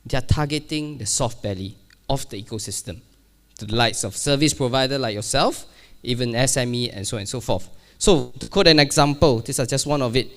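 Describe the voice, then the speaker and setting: A man's voice: medium pitch, brisk. Guy doing a conference keynote, easygoing, lots of uhs and restarts. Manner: casual, self-deprecating, many fillers and restarts. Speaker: conference speaker. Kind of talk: keynote talk on cybersecurity. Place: conference hall.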